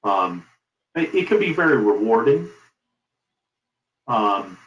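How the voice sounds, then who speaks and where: A middle-aged man being interviewed as a company founder, giving advice in an emphatic, deliberate conversational way. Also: fairly low pitch, big pitch swings, fairly bright tone, a little hiss or hum. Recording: roomy, echoing sound.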